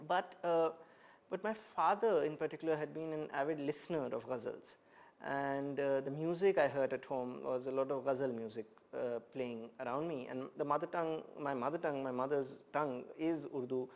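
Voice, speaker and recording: A man from India, a poet and professor, speaking as an interview guest in a thoughtful, measured way, often pausing with "uh" as he gathers his thoughts. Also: mid-range voice, fairly even intonation, vocal fry. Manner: thoughtful, measured, many "uh" pauses. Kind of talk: reflective conversational interview.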